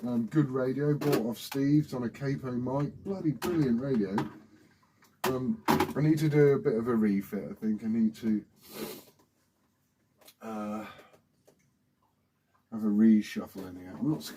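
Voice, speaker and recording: A British man with a husky voice rambling informally and swearing as he hosts a casual hobby vlog. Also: fairly low pitch, noisy background. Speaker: CB radio hobbyist video host. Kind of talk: casual rambling chat to camera.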